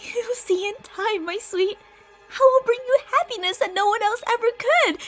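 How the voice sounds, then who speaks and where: A woman's voice: extremely high pitch, animated, normal speed, soft background music. A woman voicing a possessive, delusional lamia monster in an ASMR roleplay, speaking in a haughty, theatrical, sweetly gaslighting way. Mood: coaxing, threatening.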